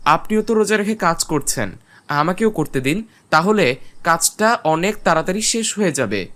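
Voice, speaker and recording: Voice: mid-range voice, animated, moderate pace, fairly bright tone, close to an everyday voice. A performer playing a polite, earnest and eager village boy in a cartoon. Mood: pleading. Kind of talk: cartoon voice acting.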